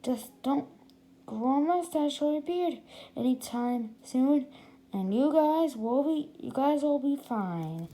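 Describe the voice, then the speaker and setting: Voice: high voice, lively intonation, slow, dark tone, full character voice. A storybook reader voicing a smug boy inventor who is proud of himself and repeats his phrases. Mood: proud, breezy.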